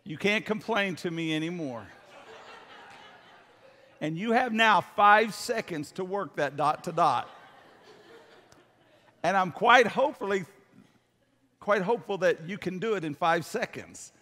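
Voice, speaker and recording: A man's voice: high-pitched, lively intonation, unhurried, long pauses, pushed and bright. An older man preaching a sermon to a congregation as a pastor, in a folksy, emphatic manner. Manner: folksy, emphatic, seeks amens from listeners. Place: church hall, speaking from the stage. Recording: long hall reverb.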